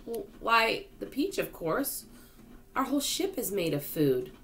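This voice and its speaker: A woman's voice: a little high, sing-song, measured pace, fairly bright tone, slight background noise, pitched above the performer's own voice. A woman voicing a patient, clever young boy in a children's storybook, explaining things reassuringly.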